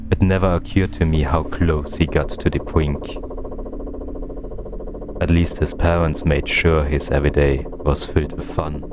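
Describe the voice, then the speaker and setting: A man voicing an introspective, melancholy twin in a visual novel, narrating in a measured, confessional manner. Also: very deep voice, noisy background. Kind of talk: scripted narration. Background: loud game music.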